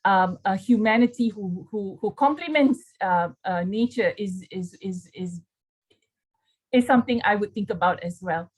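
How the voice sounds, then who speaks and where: A woman's voice: mid-range voice, moderate pace, husky. A woman moderating a panel over a video call, hesitant and repeating words as she searches for what to say.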